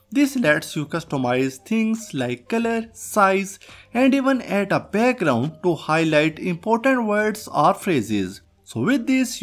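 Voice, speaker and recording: A man presenting a software tutorial as host, reading a scripted narration. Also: high-pitched. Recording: music behind the voice. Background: background music.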